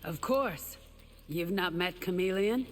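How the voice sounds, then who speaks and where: An actor playing a forthright fighter in a fighting game. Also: male voice, high voice, slow, slight background noise. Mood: challenging. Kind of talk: video game fight-intro dialogue.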